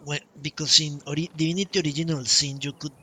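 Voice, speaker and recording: A man streaming a video game, telling a long story casually in a halting, drawn-out way. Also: on the high side, fairly even intonation, a crowd in the background. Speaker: game livestreamer. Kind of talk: casual rambling storytelling while playing a game. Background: video game music.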